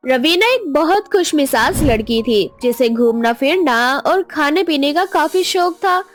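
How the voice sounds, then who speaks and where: High-pitched woman narrating a story. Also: lively intonation, unhurried, faint music behind.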